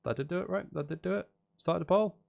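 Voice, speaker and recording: Higher-voiced man rambling on stream. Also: fast, vocal fry, dull audio. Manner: rambling, hesitant, thinking aloud. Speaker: live streamer composing game music. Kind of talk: casual live stream chat with viewers.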